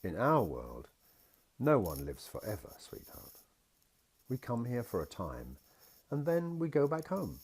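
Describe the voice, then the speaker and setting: A man voicing a gentle, patient father in a picture-book story, reassuring his small daughter as he explains things. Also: medium pitch, wildly swooping pitch, measured pace, long pauses, husky, voice pushed up, exaggerated voice. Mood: tender.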